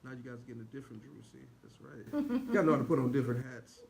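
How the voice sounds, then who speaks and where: A man's voice: mid-range voice, rapid-fire, vocal fry, crowd noise. A man co-hosting a livestream with playful, teasing banter and mock-churchy exclamations. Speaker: co-host greeting live viewers. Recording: noisy live video stream.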